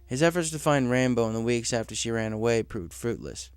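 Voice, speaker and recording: A man's voice: medium pitch, animated, fairly quick, smooth, no echo. A man reading scripted fiction aloud as a story narrator.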